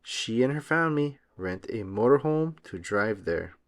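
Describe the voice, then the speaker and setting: Male storybook narrator for young children, expressive and very lively in pitch. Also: a little slow. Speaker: read-aloud host. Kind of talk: children's picture book read-aloud, scripted narration.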